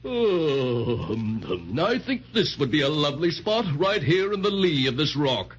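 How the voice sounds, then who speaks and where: A courtly, bumbling patchwork dragon, jolly and fussy, with a highish, lilting voice. The 1930s radio recording is muffled. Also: male voice, sing-song, measured pace, a little hiss or hum. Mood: cheerful, obliging. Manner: genteel, fussy, flowery phrasing.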